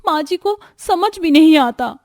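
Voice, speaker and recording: A woman's voice: high voice, expressive, unhurried, darkish tone, dry recording, studio quality, voice pushed up. A woman voicing a weary, exasperated young daughter-in-law in a cartoon story, complaining and pleading emphatically. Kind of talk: Hindi cartoon story acting.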